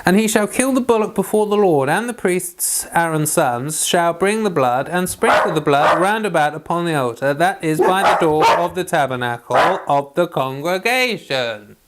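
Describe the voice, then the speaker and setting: A man's voice: a little high, lively intonation, normal speed, few pauses, very noisy. A man leading an informal Bible read-along, reading scripture aloud and giving chatty devotional commentary.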